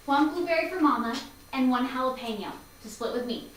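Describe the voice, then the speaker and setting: A woman's voice: high-pitched, animated, a little fast. A woman acting in a staged play reading as a playful, teasing young enthusiast who coaxes and persuades emphatically. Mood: coaxing, confident. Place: live staged reading in a room. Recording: very echoey, distant mic, faint noise.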